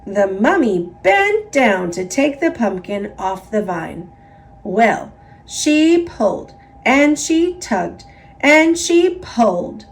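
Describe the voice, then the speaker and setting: A woman with an American accent narrating a children's picture book in an expressive, emphatic read-aloud style. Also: fairly high pitch, takes it slowly, poor audio. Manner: expressive, emphatic, repetitive build-ups. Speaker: storybook narrator.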